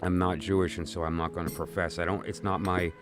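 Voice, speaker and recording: Deep-voiced man hosting a rambling livestream. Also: flat delivery, quick pace, music in the background. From Brooklyn. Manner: rambling, lots of fillers and restarts. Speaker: tarot channel host. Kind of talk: casual livestream talk.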